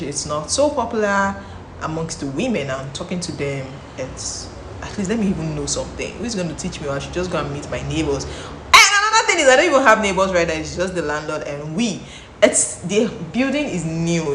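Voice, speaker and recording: Chatty Nigerian woman, low voice, echoey room. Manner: chatty, animated, repeats and restarts phrases. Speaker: vlogger. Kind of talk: casual talk to camera. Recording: echoey room, faint background noise.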